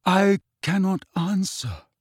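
A man voicing a courteous, melancholy gentleman ghost with a formal, wistful, resigned delivery for an audiobook. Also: high voice, expressive, takes it slowly, breathy edge, no room sound, studio quality, voice pushed up, full character voice. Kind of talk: audiobook character acting.

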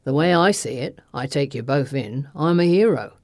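Woman voicing a sneering, low-pitched male thug. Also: lively intonation, moderate pace, a little mellow, dry recording, studio quality. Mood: sneering menace. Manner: taunting, drawling, threatening. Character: menacing, sneering male thug and knife-wielding villain. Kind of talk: audiobook character voice.

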